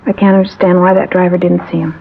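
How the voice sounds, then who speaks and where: An actress playing a talkative, shaken theater cashier who witnessed an accident, in 1950s radio drama style. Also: female voice, fairly low pitch, level tone, fast, gravelly. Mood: distressed, indignant. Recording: tinny, hissy vintage radio recording.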